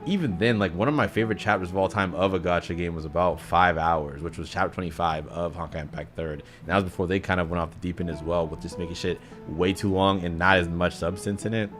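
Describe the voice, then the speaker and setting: A man's voice: deep voice, fairly even intonation, fast, hardly any pauses, fairly bright tone, soft background music. A young man giving casual, blunt game reaction commentary with slang and swearing. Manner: slangy, blunt, profane, says bro often. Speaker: gaming reaction video creator.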